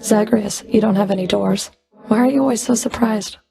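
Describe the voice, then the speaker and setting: A woman's voice: mid-range voice, normal speed, vocal fry, over music. A woman playing a stern, sardonic underworld fury in a video game, speaking in a cool, clipped, dry and commanding manner. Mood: guarded. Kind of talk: video game character voice lines.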